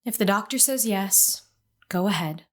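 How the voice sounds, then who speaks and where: An actress playing a gentle, caring mother in an audio drama. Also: medium pitch, lively intonation, normal speed, lightly acted. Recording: faint guitar music under the voice.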